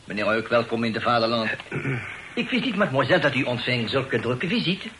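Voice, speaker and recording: An actor playing a courteous foreign landlord in a radio play, using French loanwords and a formal, inverted word order. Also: male voice, medium pitch, big pitch swings, fairly quick, natural voice. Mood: smoothly polite. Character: courteous foreign landlord speaking affected, French-laced Dutch. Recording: noisy old radio drama recording.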